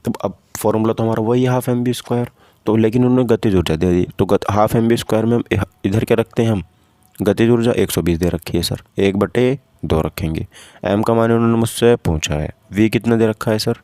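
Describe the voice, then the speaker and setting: A man's voice: on the low side, darkish tone, no echo. A man teaching informally, working through practice questions step by step and often asking questions that he answers himself. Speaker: physics teacher for exam preparation.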